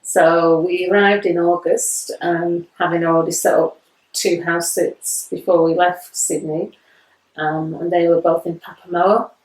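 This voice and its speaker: Lady late fifties, chatty and light, a bit low voice, echoey room. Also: flat delivery, a little slow, a little mellow. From England. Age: 50s. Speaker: house sitter. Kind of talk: casual self-introduction video.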